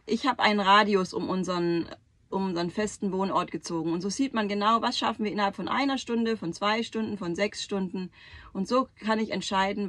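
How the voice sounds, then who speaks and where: A woman's voice: medium pitch, fairly bright tone, no echo. A woman in her thirties, a travel vlogger talking to camera from inside a camper van, explaining step by step how she plans trips. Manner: clear, instructive, lists items one by one. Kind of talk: explanatory vlog talk to camera. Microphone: camera at close range across a table.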